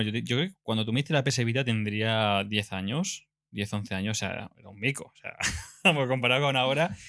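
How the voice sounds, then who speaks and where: A young man with a Castilian Spanish accent hosts in a bright, forward voice. He talks fast and informally, often playfully teasing. The recording is dry and close. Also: mid-range voice, no room sound. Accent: Spanish (Spain). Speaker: podcast host. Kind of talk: casual chat.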